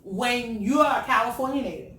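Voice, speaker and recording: A woman's voice: on the high side, a little fast, a bit bright, distant mic. A woman hosting a casual chat show, teasing her guest and asking easygoing questions. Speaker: host. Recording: echoey room, off-mic, light noise.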